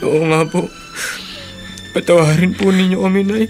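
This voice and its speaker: A man's voice: sits high, steady tone, normal speed, a little mellow, soft background music. An actor playing a remorseful son who pleads with his mother in a radio drama.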